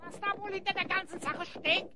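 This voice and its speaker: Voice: extremely high pitch, fairly even intonation, a little fast, noisy background. A performer voicing a small, cheeky, grumbling, always hungry sidekick creature in a German audio play. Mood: curious, excited.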